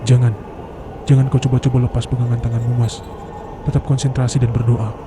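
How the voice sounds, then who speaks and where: A man playing a calm, commanding mountain tracker who gives firm, cautioning instructions in an animated horror story. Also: medium pitch, a little fast, close to an everyday voice. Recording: noisy background ambience.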